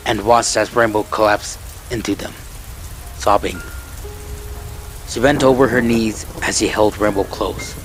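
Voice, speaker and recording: A man's voice: on the low side, fairly bright tone, poor audio. A man reading scripted fan fiction as a story narrator, with music playing behind him.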